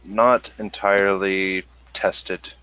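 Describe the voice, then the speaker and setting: A man voicing a calm, formal android who speaks in deliberate phrases in a tabletop game. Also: low-pitched, clear, resonant tone, heavily acted, poor audio. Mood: measured, clinical. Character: measured, formal male android advising calmly.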